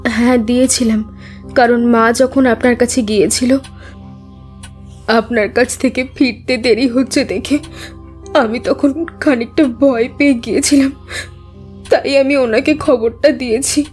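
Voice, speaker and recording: Audio drama role: grieving young widow female actor, slightly high voice, terse and choked-up under questioning. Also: steady tone, unhurried, pauses often, a little mellow, faint music behind, close to an everyday voice. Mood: shaken. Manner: curt, guarded, choked-up answers. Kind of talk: scripted audio drama acting.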